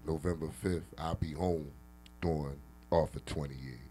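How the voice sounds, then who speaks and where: A middle-aged man speaking in a halting, earnest, reflective way in a casual radio conversation, often repeating his words. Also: rumbling low, fairly even intonation, dark tone, faint music behind. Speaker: interview participant, former prisoner. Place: radio interview room.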